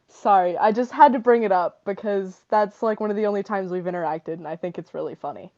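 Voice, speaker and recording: A woman's voice: medium pitch, moderate pace, a little mellow, highs cut off. A woman fan asking a question in a casual chat, giggly and bubbly, filling her sentences with "like". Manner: giggly, bubbly, heavy use of "like".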